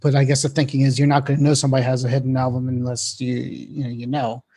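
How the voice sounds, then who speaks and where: A man's voice: mid-range voice, level tone, quick pace, mellow. A man co-hosting a casual tech chat in an informal, loosely phrased way. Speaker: podcast co-host.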